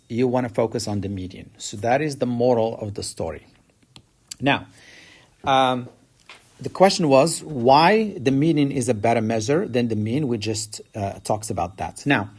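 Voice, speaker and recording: A man teaching a statistics lesson, explaining step by step and lifting his voice to stress key points. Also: breathy edge. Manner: explanatory, rises in pitch to stress key words. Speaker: math teacher. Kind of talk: recorded statistics lecture.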